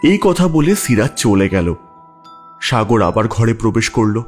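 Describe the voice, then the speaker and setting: Lowish-voiced male story narrator. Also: big pitch swings, unhurried, darkish tone, slightly rough, soft background music. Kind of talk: scripted audio story narration.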